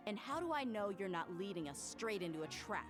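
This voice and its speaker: A woman's voice: mid-range voice, big pitch swings, fairly quick, over music, natural voice. A woman voicing a tough desert mercenary. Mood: commanding. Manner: blunt, commanding, threatening. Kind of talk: video game dialogue.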